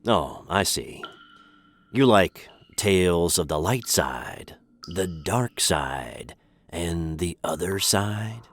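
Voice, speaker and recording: An actor playing a bewildered, polite American captive in a comic radio sitcom. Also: male voice, low-pitched, animated, takes it slowly, fairly bright tone, full character voice. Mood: polite curiosity. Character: bewildered, polite American man chained in a dungeon. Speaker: narrator star of the show.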